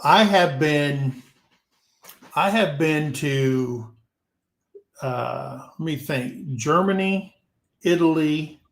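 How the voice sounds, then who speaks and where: Guy hosting a chat show, slow and rambly, kinda high voice, roomy echo. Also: fairly bright tone. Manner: rambling, repeats himself. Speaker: talk show host. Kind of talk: casual panel chat. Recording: echoey room.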